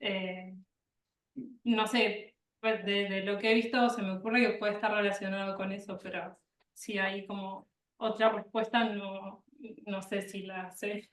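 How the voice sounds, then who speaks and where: A woman defending her thesis answers tentatively, thinking aloud as she goes. She sounds set back from the mic in a reverberant room, at a middle pitch. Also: level tone, unhurried. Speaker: thesis candidate. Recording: echoey room, distant from the mic.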